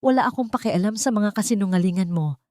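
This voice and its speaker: A woman's voice: medium pitch, fast, dry recording, clean studio sound, voice pushed up, lightly acted. A woman playing a furious young heir. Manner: shouting, harsh. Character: rich young man, cold and furious.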